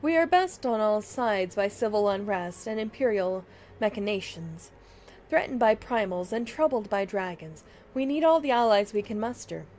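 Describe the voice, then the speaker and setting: A woman's voice: mid-range voice, lively intonation, moderate pace, darkish tone, slight background noise. A woman livestreamer reading fantasy game dialogue aloud in a dramatic way, with game music behind her.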